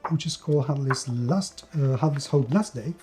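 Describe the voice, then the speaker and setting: A man's voice: medium pitch, normal speed, mellow, soft background music. A man giving an unscripted, enthusiastic video review of a tabletop game, repeating words for emphasis.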